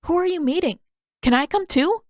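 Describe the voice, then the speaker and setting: Woman acting an eager, high-voiced young boy. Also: lively intonation, normal speed, no echo, studio quality. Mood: curious, eager. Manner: hesitant, questioning, earnest.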